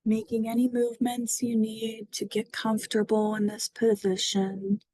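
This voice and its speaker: A woman guiding a mindfulness body scan meditation, speaking gently and soothingly with long pauses. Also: on the high side, monotone, dry recording, low-quality recording. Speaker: mindfulness guide.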